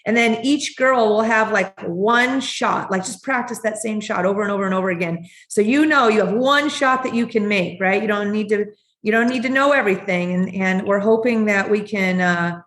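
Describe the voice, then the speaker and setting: A woman's voice: medium pitch, fairly bright tone, roomy sound. A woman co-hosting a podcast interview, chatting in an upbeat, casual way over a video call. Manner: chatty, upbeat, quick to laugh. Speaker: podcast co-host.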